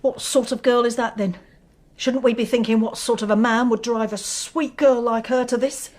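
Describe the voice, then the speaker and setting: An actress playing a bereaved, sharp-tongued older mother in a radio drama, dry and sarcastic. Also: fairly high pitch, fairly even intonation, normal speed, lightly acted. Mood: tart, sarcastic. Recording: noisy, with background ambience.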